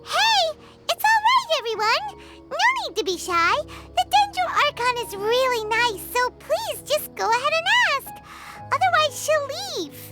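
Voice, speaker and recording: A woman voicing a bubbly, excitable little fairy companion in a video game, with a squeaky childlike voice and animated delivery. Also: unhurried, loud music behind the voice, heavily acted. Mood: encouraging. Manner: exclamatory, animated.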